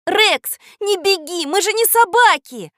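A woman voicing an excitable young schoolgirl in an audio storybook, indignant, pleading and easily frightened. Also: very high-pitched, moderate pace, no room sound, studio quality, pitched above the performer's own voice. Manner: exclamatory, pleading. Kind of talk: audio storybook character acting.

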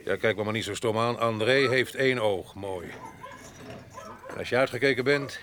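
An actor playing a rough, wild, one-eyed farm boss in a radio play, gruff and mocking as he gives orders. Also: fairly low pitch, normal speed, natural voice. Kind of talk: Dutch radio drama acting. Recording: noisy outdoor farm sound effects behind.

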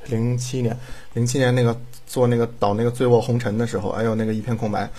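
A man's voice: mid-range voice, flat delivery, quick pace, mellow, faint music behind. A man chatting casually as a drama director, rambling and repeating himself for emphasis. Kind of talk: casual chat.

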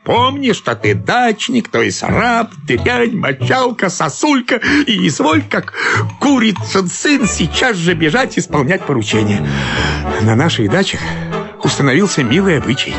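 Frantic, exasperated middle-aged civil servant. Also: male voice, sits high, sing-song, measured pace, a bit bright, heavily acted. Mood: aggrieved ranting. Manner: theatrical, pleading, ranting in lists of complaints. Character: exhausted, exasperated married civil servant, self-pitying and frantic. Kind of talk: radio play acting of a classic comic story. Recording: studio radio play with music.

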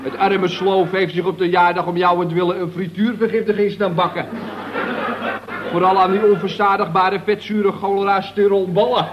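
Actor as a pompous talker on dull old radio. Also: male voice, high-pitched, level tone, normal speed, noisy background, lightly acted. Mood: lecturing. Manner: pompous, wordy, over-elaborate diction with malapropisms. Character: pompous, mocking colleague who mangles long words. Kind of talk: radio comedy acting.

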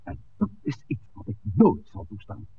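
An actor playing an authoritative village priest in a 1960s radio drama, speaking in a measured, formal, admonishing way. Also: male voice, lowish voice, very animated, vocal fry. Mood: stern. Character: authoritative village priest, composed, stern peacemaker. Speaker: pastor. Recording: dull, noisy 1960s radio recording.

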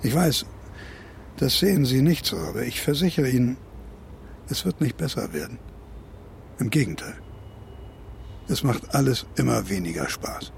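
An actor playing a cynical older academic in a German radio play, grumbling in a pompous, declamatory, world-weary way. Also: male voice, mid-range voice, expressive, normal speed, vocal fry, long pauses, slight background noise. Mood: resigned.

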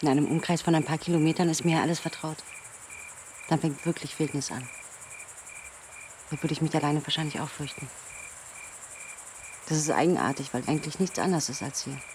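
An actor playing a calm, pragmatic forest dweller in a naturalistic radio drama, matter-of-fact and gently probing. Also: male voice, fairly high pitch, brisk, long pauses, slight background noise, natural voice. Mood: calm, reflective.

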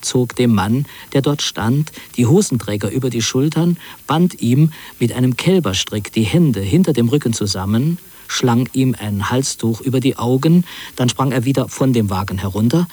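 A middle-aged man narrating an autobiographical radio piece in a measured, precise literary manner. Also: medium pitch, a little mellow, slight background noise.

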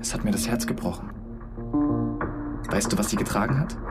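An actor playing a young man who pleads in a halting, hesitant way with someone who won't answer, in a radio drama. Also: lowish voice, close to an everyday voice. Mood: pleading. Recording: radio play with loud music.

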